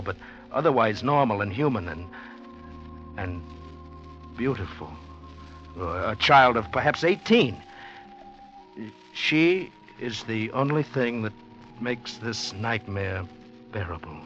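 An actor in 1940s radio drama playing an anxious, earnest gentleman, a bewildered newcomer who speaks haltingly and intensely. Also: lowish voice, expressive, faint music behind. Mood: tense, brooding. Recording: dull old 1940s radio recording.

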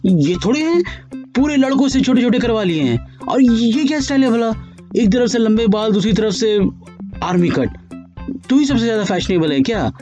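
A man voicing a scolding middle-aged mother who grumbles and nags her daughter, over soft music. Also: medium pitch, animated, normal speed, lightly acted. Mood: indignant. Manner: nagging, exasperated grumbling.

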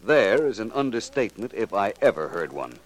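A man acting a brisk, courteous private detective in a 1940s radio crime drama, with an American accent and an authoritative manner. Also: fairly low pitch, big pitch swings, over loud music, poor audio. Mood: composed, probing. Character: brisk, commanding male private detective taking charge. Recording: old 1940s radio recording.

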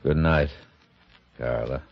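An actor playing a terse, coolly practical killer in a 1940s radio thriller, guarded and matter-of-fact. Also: male voice, very deep voice, takes it slowly, full character voice. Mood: guarded, low. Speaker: supporting actor. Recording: dull, noisy old radio recording.

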